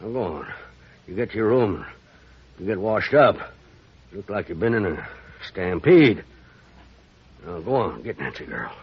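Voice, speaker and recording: An actor playing a gruff, bad-tempered older rancher father with a gravelly, rural American voice, barking orders in 1950s radio western style. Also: sits low, big pitch swings, unhurried, long pauses, full character voice. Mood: gruff, softening. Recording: muffled old radio recording.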